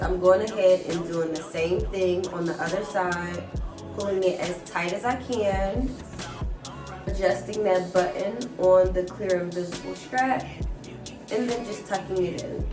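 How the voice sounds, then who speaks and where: A woman with a stuffy nose from a cold, chatting casually to viewers as she walks through a wig install. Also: fairly low pitch. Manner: chatty, keeps addressing viewers as guys. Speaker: hair vlogger. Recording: roomy sound from a distant mic with music. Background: background music.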